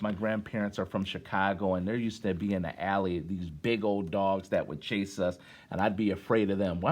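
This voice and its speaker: A middle-aged American man reading and chatting to children in a conversational way, with questions and encouragement, like a former teacher at story time. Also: deep voice.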